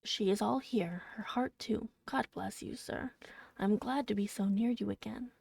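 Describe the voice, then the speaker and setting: Storybook heroine as a woman reads her: devoted young woman, tender, composed, mellow and lightly creaky, recorded dry. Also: mid-range voice, a little slow, no room sound. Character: devoted young woman, gentle, steady and quietly teasing.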